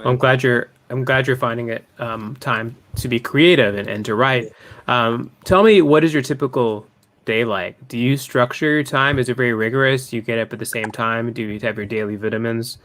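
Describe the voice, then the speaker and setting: A man's voice: mid-range voice, steady tone, normal speed, fairly bright tone. A man hosting an interview over a video call, asking questions in an upbeat, friendly way. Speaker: host.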